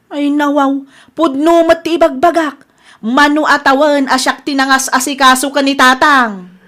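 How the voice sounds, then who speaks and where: A grown Ilocano daughter in a comedy radio drama voiced by a woman. Her voice is distinctly high. She is curt and dismissive, with a resentful, self-justifying edge. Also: little pitch movement, normal speed, fairly bright tone.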